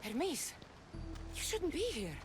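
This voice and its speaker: A woman's voice: sits high, sing-song, slow, music in the background, full character voice. An actress playing a bold, defiant mercenary heroine in a video game, forthright and determined in her delivery. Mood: earnest, pleading. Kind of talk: dramatic video game acting.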